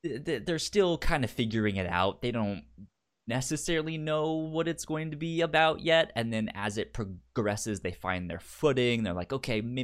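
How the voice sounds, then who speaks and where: A man co-hosting a podcast in casual chat, speaking haltingly with many stammered restarts. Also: fairly low pitch. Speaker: podcast co-host.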